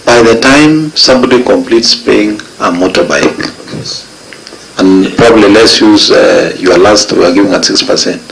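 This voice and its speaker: Low gravelly senator pressing for evidence. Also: male voice, normal speed, fairly bright tone, faint music behind, rough recording. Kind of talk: questioning at a committee hearing. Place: parliament committee room.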